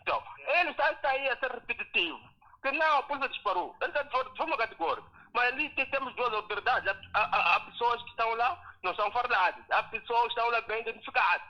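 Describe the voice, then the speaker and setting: A man's voice: very high voice, fast talker, lo-fi sound. A male caller giving his opinion on a live phone-in show, cautious and inclined to repeat himself.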